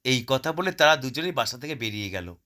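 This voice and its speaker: A man's voice: mid-range voice, lively intonation, a little fast, bright, forceful tone. A man narrating a scripted cartoon story as the storyteller.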